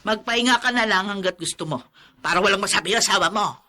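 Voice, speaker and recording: A woman's voice: mid-range voice, quick pace, pushed and bright, lightly acted. A Filipino woman playing a resentful, sarcastic older aunt in a radio drama. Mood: cold, sarcastic. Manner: sarcastic, indignant. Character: resentful, jealous older aunt who feels unappreciated.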